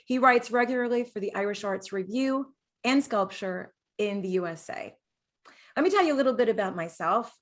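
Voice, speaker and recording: A woman from New York City speaks at a medium pitch as co-host of an arts show. She moves between chatty remarks and prepared, read-out introductions. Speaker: co-host, actor and director.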